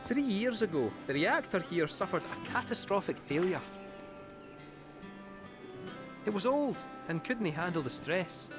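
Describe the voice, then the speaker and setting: Excitable scottish game sidekick, high voice that swoops around, music behind. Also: male voice, moderate pace. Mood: earnest. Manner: animated, folksy. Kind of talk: video game voice acting.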